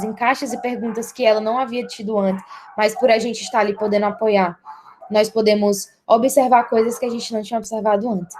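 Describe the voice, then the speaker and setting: A woman's voice: fairly high pitch, a little mellow. Young Brazilian woman presenting a project. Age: young adult. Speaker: university student presenter.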